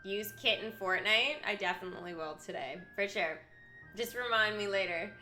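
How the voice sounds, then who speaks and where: A woman with a bright, forward voice at a middle pitch, streaming with game music playing behind her. She reads out profiles, then drops into casual, excitable commentary for her viewers. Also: normal speed. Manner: chatty, excitable, breaking into asides to viewers. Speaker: live streamer. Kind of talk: reading aloud character profiles with casual commentary.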